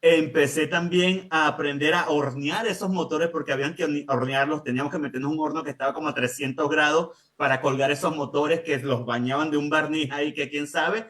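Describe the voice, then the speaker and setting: A man's voice: fairly high pitch, brisk, hardly any pauses. A man. He sits a bit back from the microphone, so he sounds distant. He talks steadily and often agrees with a firm "así es". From Venezuela. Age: late 40s. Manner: calm, affirming, often says "así es". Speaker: guest, business owner. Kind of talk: conversational interview storytelling.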